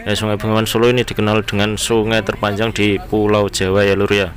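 A man's voice: lowish voice, fairly quick. A man with an East Javanese accent giving casual, conversational driving commentary to his viewers as a car vlogger. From Bojonegoro area, East Java, Indonesia. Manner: conversational, often addressing viewers as friends. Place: inside a moving car on town roads. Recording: steady vehicle and road noise. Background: car and traffic noise.

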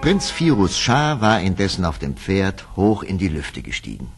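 Deep, husky male storyteller. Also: big pitch swings, moderate pace, faint music behind. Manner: dramatic storytelling. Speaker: narrator. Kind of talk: scripted fairy-tale narration in German radio play.

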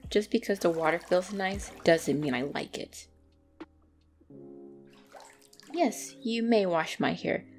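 Woman acting a bratty tsundere catgirl, very expressive. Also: lowish voice, measured pace, gravelly. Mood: grudgingly content. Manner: indignant, defensive, stammering when flustered. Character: bratty, flustered young female tsundere catgirl. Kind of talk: ASMR roleplay acting addressed to the listener.

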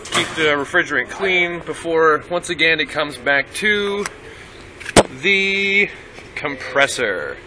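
A man, giving an informal, halting hands-on technical walkthrough as an automotive student. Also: on the high side, expressive. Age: 20s. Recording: noisy amateur camera audio, vehicle noise.

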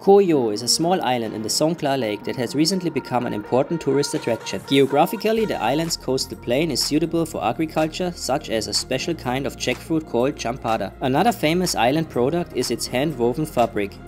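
A man's voice: medium pitch, animated, fairly quick, few pauses. A man narrating a travel vlog in a plain scripted voice-over, read in non-native English. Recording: over loud background music.

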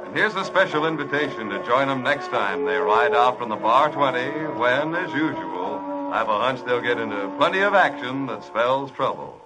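A man's voice: medium pitch, lively intonation, normal speed, few pauses, low-quality recording. A man announcing a vintage western radio show in a scripted sign-off style. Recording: old radio recording. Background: loud music.

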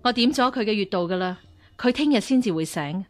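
An actress playing a stern, shrewd martial-arts nun of royal birth, measured and commanding, in a Cantonese radio drama. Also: female voice, medium pitch, animated, faint music behind, close to an everyday voice. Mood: authoritative. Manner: measured, authoritative.

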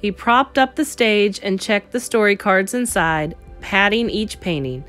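A woman teacher reading a children's storybook aloud as an expressive narrator. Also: medium pitch, measured pace, soft background music.

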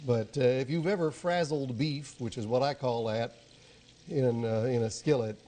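A man's voice: mid-range voice, normal speed, dark tone, slight background noise. A middle-aged man, hosting a TV cooking segment in a studio kitchen and chatting in a folksy way with his guest. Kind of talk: friendly local TV cooking chat.

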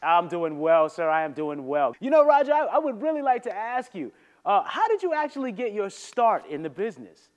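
A man's voice: high voice, fairly quick, lo-fi sound. A middle-aged man hosting a talk show, hyping the next segment and interviewing a guest in a studio. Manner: energetic, hyped promo delivery. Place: studio set with a large screen.

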